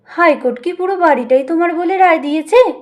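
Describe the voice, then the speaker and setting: An aggrieved man, curt and defensive, voiced by a woman reader. His pitch is high and he sounds uneasy, as a put-upon relative in an audio storybook. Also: fast. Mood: shocked. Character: aggrieved adult brother-in-law, uneasy, worn down by quarrel.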